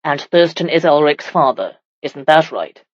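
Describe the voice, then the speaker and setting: A medieval warrior husband from a romance audiobook as a female narrator puts him on. The voice is low, firm and measured, with exasperated authority and a protective streak. The sound is very dry and a bit muffled. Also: animated, no room sound. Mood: stern.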